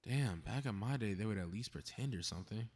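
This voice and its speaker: Husky, low-voiced cocky superhero, acted by a man. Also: expressive, a little fast, darkish tone. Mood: indignant. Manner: sarcastic, exasperated muttering, sighing. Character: cocky, snarky, meddling invisible male superhero grumbling to himself. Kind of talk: scripted ASMR audio roleplay monologue.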